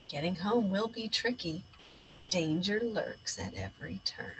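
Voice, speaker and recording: A woman's voice: medium pitch, sharp tone, a little hiss or hum, full character voice, low-quality recording. A woman voicing a brave, dramatic boy who plays a space captain in a storybook. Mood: bold, proud. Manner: dramatic, exclamatory. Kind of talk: storybook read-aloud character.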